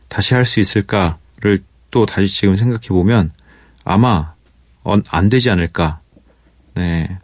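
Man, radio host, low and unhurried, dry studio sound, thoughtful late-night talk. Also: no room sound. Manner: relaxed, thoughtful, with pauses and fillers. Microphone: studio microphone.

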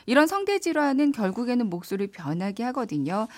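Lively woman explaining on the radio. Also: fairly high pitch, quick pace, dry recording. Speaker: radio co-host presenting health information. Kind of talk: scripted informational segment in a radio chat.

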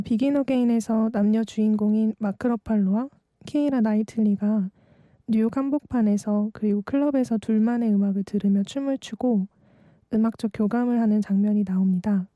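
Young woman, 20, late-night radio DJ; gentle, reflective scripted delivery, deep mellow timbre, dry sound. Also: no room sound.

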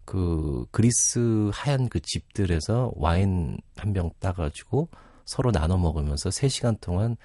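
Middle aged guy on a radio interview, explains things thoughtfully, mellow tone, very lively intonation. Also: low voice, measured pace, hardly any pauses, no echo, clean studio sound. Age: 40s or older. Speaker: psychiatrist guest.

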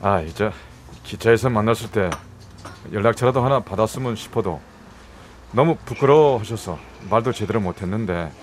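An actor playing a forward, friendly young suitor in a radio drama, chatty and eager, with a Busan Gyeongsang accent. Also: male voice, fairly low pitch, moderate pace, slight background noise, natural voice. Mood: warm, chatty.

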